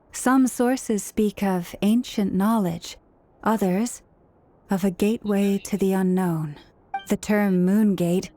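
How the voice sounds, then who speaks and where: Gentle priestess, keeper of lore female actor, mellow mid-pitched voice, measured and formal, anime game. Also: natural voice.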